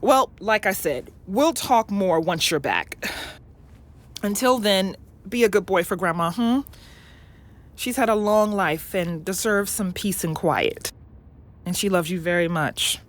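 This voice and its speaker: Patient mother played by an actress, naturalistic audio drama: tender, careful, slow, halting delivery, mid-range voice. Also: medium pitch, natural voice. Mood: tender, coaxing. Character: gentle, patient mother explaining carefully to child.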